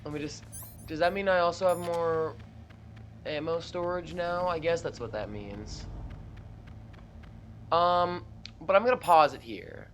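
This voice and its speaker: A man's voice: a little high, measured pace, pauses often, fairly bright tone. A man giving casual commentary as host of a video game playthrough. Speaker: let's play host. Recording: faint background noise.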